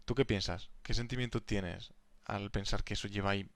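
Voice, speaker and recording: A young man co-hosting a casual podcast, enthusiastic and quick to laugh. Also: fairly low pitch, fairly even intonation, no echo. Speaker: podcast co-host, language teacher. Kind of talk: casual conversational chat.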